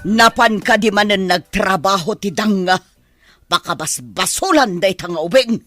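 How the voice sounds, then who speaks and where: Irritable, defensive old granny played by a woman, Ilocano accent, forceful edge, radio soap delivery. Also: medium pitch, normal speed, soft background music, lightly acted. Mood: bickering. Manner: sharp, defensive, bickering. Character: irritable older grandmother, defensive and quarrelsome. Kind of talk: Ilocano radio drama acting.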